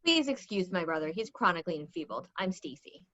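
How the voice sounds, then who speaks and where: A woman acting a wry young sister in a script read. She is quick and lively in pitch, breezy and matter-of-fact. The sound is a bit dull. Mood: wry, sociable. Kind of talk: screenplay table read. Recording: dull, lo-fi voice recording.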